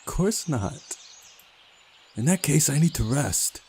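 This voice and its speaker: Guy doing a touchy guarded young woman from a visual novel, resentful, pitched up a bit. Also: animated, slow pace, gravelly, mellow, slight background noise, heavily acted.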